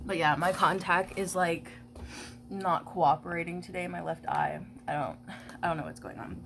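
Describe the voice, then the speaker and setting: A woman's voice: on the low side, moderate pace, vocal fry, a bit bright. Congested young woman chatting casually. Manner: rambling, filler-heavy, swears casually. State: congested, stuffy nose. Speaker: vlogger. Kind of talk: casual get-ready-with-me chat. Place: at home doing makeup.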